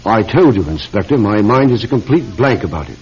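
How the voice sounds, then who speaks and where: Husky, faltering British lord. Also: male voice, on the low side, animated, a little fast, a little mellow. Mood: shaken, distraught. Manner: faltering, hesitant, refined. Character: bewildered, shaken aristocratic newspaper publisher. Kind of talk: old-time radio drama acting. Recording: muffled old radio recording.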